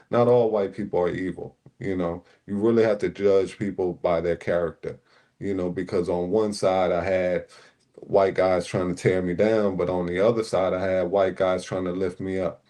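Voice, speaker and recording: A man's voice: deep voice, level tone, normal speed, dark tone. A man born in Puerto Rico and raised in Newark, New Jersey, a panel regular talking in casual livestream chat, laid-back with many "you know" fillers.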